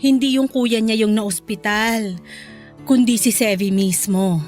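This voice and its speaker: Protective middle-aged mother, gentle, fairly high voice, acted by a woman for radio drama over faint music. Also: steady tone, a little slow, lightly acted. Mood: careful, serious. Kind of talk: emotional Tagalog radio drama acting.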